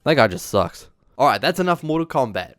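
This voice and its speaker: A man hosting a scripted but casual, opinionated video review, with sarcastic, exaggerated emphasis and drawn-out words. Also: medium pitch, very animated, a bit bright, no room sound, clean studio sound, faint music behind. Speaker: video essay host and reviewer.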